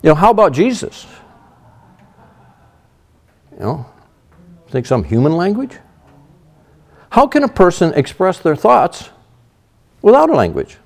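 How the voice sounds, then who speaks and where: A man's voice: sits high, huge pitch swings, measured pace, creaky, pauses often. A middle-aged man teaching a sermon through a Bible passage in a conversational way, leaning on "you know" as he explains. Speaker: pastor.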